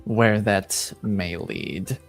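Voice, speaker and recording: A man's voice: fairly low pitch, fairly even intonation, very slow, faint music behind. A man playing a reserved, aloof duke who speaks in clipped, stiffly formal, courteous phrases.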